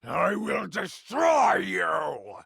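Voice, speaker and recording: Vengeful fantasy villain for a game: furious, very raspy, strained high shouting, slow and menacing. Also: male voice, huge pitch swings, no room sound, clean studio sound, heavily acted. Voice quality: harsh, gravelly shout. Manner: furious, threatening.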